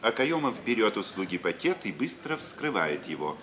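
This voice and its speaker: A man's voice: lowish voice, big pitch swings, moderate pace, fairly bright tone. A man reading scripted stage action as the narrator of a 1950s radio play. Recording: very noisy old radio recording.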